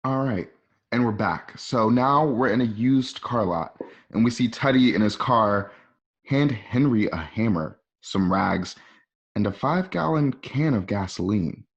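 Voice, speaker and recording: A man's voice: on the low side, unhurried, lo-fi sound. A man reading screenplay stage directions aloud as the narrator of a radio play. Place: at home.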